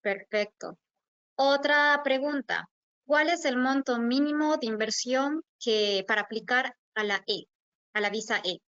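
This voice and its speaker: Woman webinar host, polite and hesitant; smooth voice, dry muffled audio. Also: on the high side, measured pace, fairly bright tone, no echo. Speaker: webinar moderator. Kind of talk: reading audience questions.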